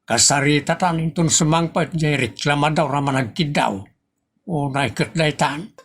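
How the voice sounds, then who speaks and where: A man with a northern Philippine accent acting an exasperated husband and father in a comedy audio drama, scolding deliberately and emphatically. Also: on the high side, lightly acted. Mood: grumbling.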